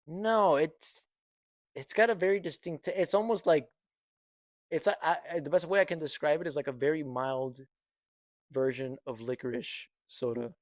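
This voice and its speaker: A man's voice: fairly high pitch, normal speed, no echo, clean studio sound. A young man co-hosting a podcast in casual chat, insistent as he argues his point, with some laughter. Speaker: podcast co-host.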